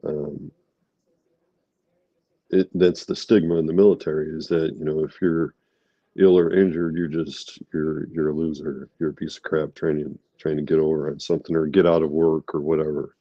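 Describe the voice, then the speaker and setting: Low congested veteran man, halting. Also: flat delivery, warm tone, dry recording, muffled recording. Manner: halting, many fillers and restarts. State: congested from a cold. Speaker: guest, army veteran. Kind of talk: candid interview talk.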